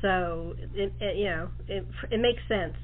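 A woman hosts a casual interview through a narrow, phone-like connection. She speaks at an unhurried, slow pace and a medium pitch, in a loose chatty style. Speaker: talk show host. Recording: thin band-limited phone-like sound.

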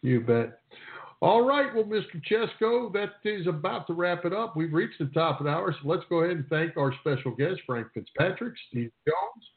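A man's voice: fairly high pitch, lively intonation, moderate pace. A man co-hosting a sports radio show in a casual, conversational style. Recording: narrow phone-like radio sound.

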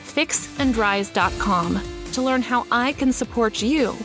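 A woman's voice: a little high, a little slow. A woman reading a scripted motivational promo, confident and encouraging. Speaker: ad speaker. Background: music.

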